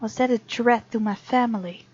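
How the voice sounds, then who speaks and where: A woman's voice: fairly high pitch, moderate pace, breathy edge, highs cut off. A woman hosting a let's play, reading game text aloud and reacting casually and expressively. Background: faint game music.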